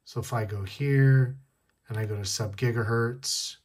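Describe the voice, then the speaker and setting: Male tech tutorial host, a bit husky, casual step-by-step delivery. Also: mid-range voice, fairly even intonation, a little slow, a little mellow. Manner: step-by-step explaining, repeating key points. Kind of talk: casual hands-on demonstration. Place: indoors at a wooden desk.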